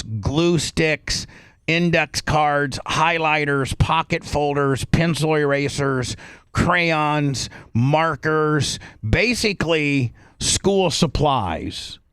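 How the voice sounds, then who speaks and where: An American man with a slightly high voice hosts talk radio in a close, dry sound. He rambles through an off-the-cuff sponsor pitch, repeating himself and punching out lists. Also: fairly bright tone, smooth, no echo. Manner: rambling, repetitive, emphatic listing. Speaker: radio show host.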